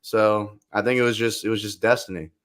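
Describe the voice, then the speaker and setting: Young male guest, loud and lively, low-ish voice, loose casual delivery. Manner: animated, quick bursts, lots of "like". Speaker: podcast guest. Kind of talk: casual chat.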